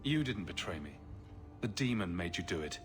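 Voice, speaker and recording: An actor playing a steady hero who speaks calmly and reassuringly. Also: male voice, low voice, normal speed, soft background music.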